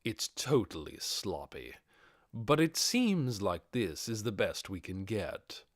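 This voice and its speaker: Male reader as fantasy audiobook undead overlord: low, measured, commanding, explanatory. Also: sing-song, no echo, clean studio sound, full character voice. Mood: measured, explaining.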